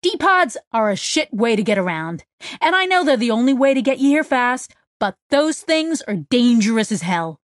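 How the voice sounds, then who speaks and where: A woman's voice: fairly high pitch, big pitch swings, normal speed, dry recording, pitched above the performer's own voice. A cocky, easygoing small man who works as a tech, played by a woman narrator. He talks bluntly and jokes with playful pomp, in a dry, close storybook recording. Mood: blunt, casual. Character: small stocky man, cocky, laid-back joking tech.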